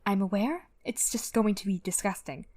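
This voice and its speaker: A woman playing a blunt, squeamish mercenary, expressive and exasperated, in an audio drama. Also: medium pitch, normal speed, natural voice. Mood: disgusted, uneasy.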